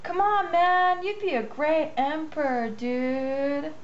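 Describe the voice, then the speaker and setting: A woman's voice: high-pitched, takes it slowly, muffled recording, a little hiss or hum. A woman voicing an earnest, dramatic vegetable character in an amateur puppet show. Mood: pleading. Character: zealous vegetable farmer and pleading young carrot.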